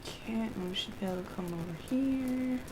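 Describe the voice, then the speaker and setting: A woman's voice: fairly high pitch, a little fast, noisy background. A woman casually commenting on her gameplay as a streamer, muttering to herself as she plays. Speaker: game streamer. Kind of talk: casual live gameplay commentary with read-aloud dialogue.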